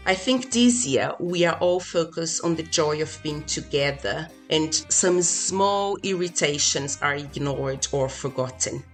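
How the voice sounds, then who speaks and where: A woman's voice: low voice, animated, measured pace, hardly any pauses, soft background music. A woman from Brazil, a native Portuguese speaker, reading her part as a guest in a scripted podcast conversation.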